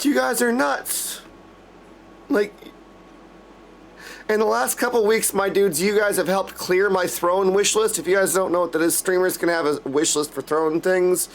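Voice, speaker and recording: High pitched guy streamer chatting to his viewers, super effusive, music playing behind him. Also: fairly even intonation, unhurried, a little hiss or hum. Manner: effusive, gushing, often addressing viewers as dudes. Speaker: game streamer hosting a live stream. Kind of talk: casual livestream chat to viewers.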